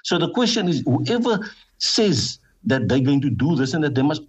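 A middle-aged man with a South African accent, a councillor answering radio interview questions in a forceful, repetitive campaigning manner. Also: on the high side, big pitch swings, moderate pace, slightly rough, dull audio. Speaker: councillor and party leader.

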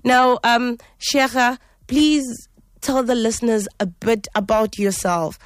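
A woman hosting a radio talk show, reading a measured introduction with fillers and restarts before turning to her guest. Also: on the high side, fairly even intonation, no room sound, studio quality. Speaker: radio host.

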